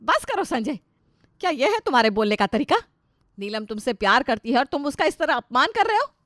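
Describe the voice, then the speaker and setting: A woman's voice: high voice, very animated, brisk, a bit bright, no room sound, clean studio sound, pitched above the performer's own voice. A woman voicing a caring but firm older mother-in-law in a moral story, motherly and authoritative. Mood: stern. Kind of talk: dramatised Hindi moral story.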